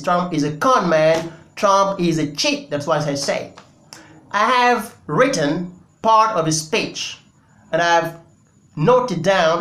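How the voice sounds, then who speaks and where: A man's voice: sits high, fairly bright tone, reverberant. A Senegalese man whose first language is Wolof, giving emphatic political commentary in English with many fillers. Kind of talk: opinion commentary to camera.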